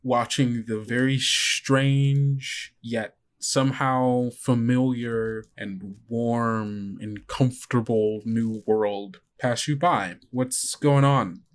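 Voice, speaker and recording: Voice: medium pitch, steady tone, slow, few pauses. A game master and podcast host improvising descriptive narration for a tabletop roleplaying game in a conversational way.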